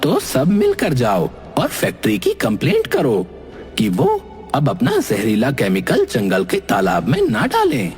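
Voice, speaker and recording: Guy doing an indignant cartoon ghost, bossy lecturing, super animated, big echoey hall, bit of music. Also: medium pitch, measured pace, breathy edge, full character voice. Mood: commanding. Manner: assertive, lecturing. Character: Bholu, indignant ghost defending wild forest animals. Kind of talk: Hindi cartoon voice acting. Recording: long hall reverb, faint music behind.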